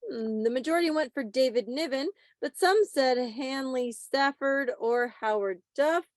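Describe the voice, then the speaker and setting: A woman with a fairly high voice hosts a quiz in a chatty, informal way. She teases playfully as she goes, and the sound is dry and close, with little room in it. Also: measured pace, dry recording. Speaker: quiz host at a club meeting. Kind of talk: casual quiz presenting on a video call. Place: online video meeting.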